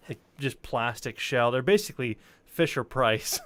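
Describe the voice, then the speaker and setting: A man's voice: medium pitch, measured pace, fairly bright tone. A man telling anecdotes in casual chat, rambling informally and swearing freely. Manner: informal, profane, rambling storyteller.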